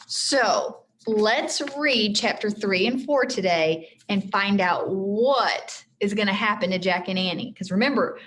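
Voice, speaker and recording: A woman's voice: mid-range voice, fairly bright tone, a little hiss or hum. A woman teacher reading a storybook aloud expressively, with simple explanatory asides for children.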